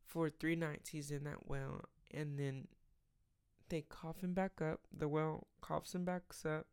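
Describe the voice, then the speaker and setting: A raspy, weary late-night voice at a middle pitch, its gravelly fry sitting under a smooth, resonant core. The speaker hosts a casual faith podcast, rambling and restarting with plenty of fillers. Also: flat delivery, takes it slowly, darkish tone. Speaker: podcast host.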